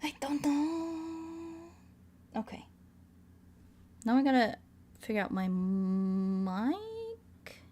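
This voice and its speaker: High-voiced, playful woman chatting on stream. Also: expressive, drawn-out words, pauses often, mellow, slight background noise. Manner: playful, repeats phrases in a singsong ramble. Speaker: streamer singing requests from chat. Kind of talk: casual livestream chat.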